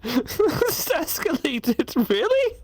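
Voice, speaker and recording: A man co-commentating on a game in casual chat, animated and teasing. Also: very high-pitched, extremely slow, dry recording. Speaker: co-commentator on let's play.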